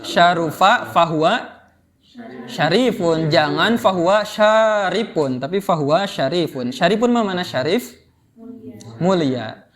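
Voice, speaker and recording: A man with an Indonesian accent teaching Arabic grammar informally, explaining and prompting students with questions. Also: high voice. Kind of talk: interactive lesson, explaining and quizzing students.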